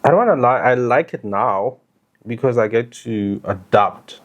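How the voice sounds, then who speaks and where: Very deep-voiced South African woman, casual host. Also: lively intonation, normal speed. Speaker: podcast host. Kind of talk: casual chat interview.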